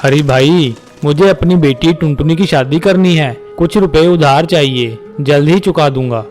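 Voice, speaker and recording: A man's voice: a little high, normal speed, a little mellow, faint music behind, lightly acted. A man voicing a humble middle-aged father pigeon in a moral-story cartoon, mild and earnest.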